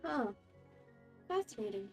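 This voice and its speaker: Higher-voiced woman streaming a game. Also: sing-song, a little slow, vocal fry. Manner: brief, sympathetic reactions. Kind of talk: casual game playthrough commentary. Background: game music.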